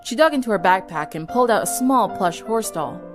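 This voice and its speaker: Female story narrator, mid-pitched, expressive scripted read with a clear music bed. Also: brisk.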